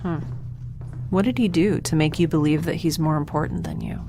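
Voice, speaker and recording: A woman's voice: deep voice, normal speed, creaky, over music. A woman playing a blunt, curious young woman in space, with a wry, rambling, analytical way of talking, in a sci-fi audio drama. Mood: blunt curiosity.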